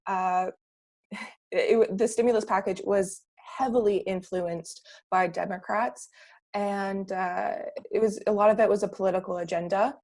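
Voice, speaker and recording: A woman's voice: medium pitch, fairly even intonation, unhurried, darkish tone. Earnest Canadian woman giving opinions. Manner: earnest, opinionated, with many restarts. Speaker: panelist, political campaigner. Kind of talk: casual discussion.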